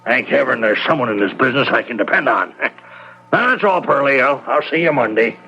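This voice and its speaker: Male, blustering radio-drama boss: forceful bright edge, irritable, sarcastic, barks repeated words. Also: mid-range voice, lively intonation, brisk, creaky, dull audio, a little hiss or hum. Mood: brisk. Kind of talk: old-time radio drama acting.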